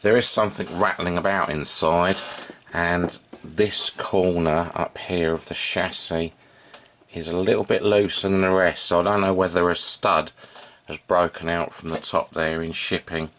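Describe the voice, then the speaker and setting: A man giving an unscripted, informal hands-on demonstration, thinking aloud in a halting way as he works as a hobbyist repairer. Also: deep voice, steady tone, a bit bright, slight background noise. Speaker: vintage radio repair hobbyist and video presenter.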